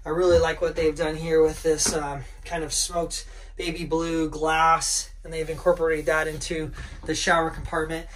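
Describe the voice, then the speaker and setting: A middle-aged man, a yacht broker, walks through a sailboat and lists its features in a relaxed way, with lots of "uh" fillers. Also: a little high, moderate pace, slight background noise. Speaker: yacht broker giving a walkthrough tour. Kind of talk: casual walkthrough narration. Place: aboard a sailboat in a marina, on deck and below. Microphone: handheld camera, first-person view.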